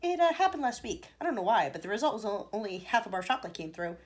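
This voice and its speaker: A woman's voice: mid-range voice, very animated, racing, close to an everyday voice. A woman voicing an eccentric, fussy male chocolatier who stammers theatrically.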